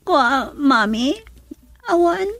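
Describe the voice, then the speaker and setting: An actress with an Ilocano Filipino accent playing a timid, evasive young student daughter in a radio drama. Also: female voice, sits high, expressive, extremely slow, a bit bright, full character voice. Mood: evasive, sad.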